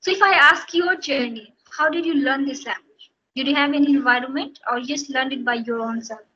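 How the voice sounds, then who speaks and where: High bright Indian woman, video call. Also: fairly quick. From Bihar, India. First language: Hindi. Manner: steady, explanatory, repeats phrases while thinking. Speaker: guest, English teacher. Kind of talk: casual interview on a video call. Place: small room indoors. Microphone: headphones on a video call. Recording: dull lo-fi video call audio with faint noise.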